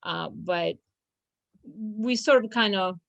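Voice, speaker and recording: A woman's voice: mid-range voice, takes it slowly, creaky, no echo. A young woman from Romania, a law firm's business manager, talking casually in an interview, hesitant with many fillers and restarts.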